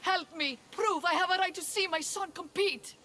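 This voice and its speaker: A woman's voice: very high voice, moderate pace, pushed and bright, a little hiss or hum. A woman playing a proud, earnest married mother from ancient Greece, pleading, in a video game. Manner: earnest, imploring. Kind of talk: video game character acting.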